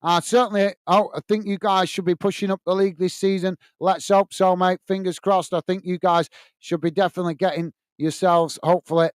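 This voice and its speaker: A man with a Lancashire accent hosts a football live stream, talking straight and with passion. His voice sits fairly high and comes through smooth and clean. The sound is dry and close, with no room echo. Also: level tone, fairly quick. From England. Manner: passionate, blunt, addresses viewers by name. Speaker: football channel host reading live chat. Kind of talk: casual live-stream chat. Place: small home studio.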